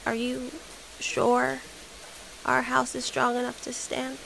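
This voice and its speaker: A woman's voice: sits high, completely flat delivery, gravelly, fairly bright tone, heavily acted, rough recording. A woman playing an anxious, doubtful young boy in an audio horror drama, speaking hesitantly. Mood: timid, uneasy. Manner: hesitant, halting questioning. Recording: hissy background noise.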